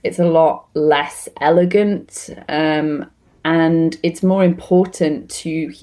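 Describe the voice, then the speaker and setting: A woman's voice: sits low, steady tone, slow, mellow. A woman in her thirties from Wales, with a Welsh accent blended with London and Canadian traces, chatting reflectively as an ESL teacher guest with frequent ums and restarts. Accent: Welsh-English, mixed with London and Canadian influence. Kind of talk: conversational interview answers. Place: lives in Canada.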